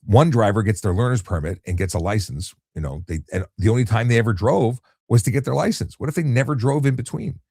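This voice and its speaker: A middle-aged man with a low voice, calmly explaining things in a relaxed late-night live chat as he reads out viewer questions. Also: quick pace, creaky, few pauses, slightly rough, dry recording, studio quality. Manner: calm, explanatory, many restarts and fillers. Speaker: retired traffic police officer, live-stream host.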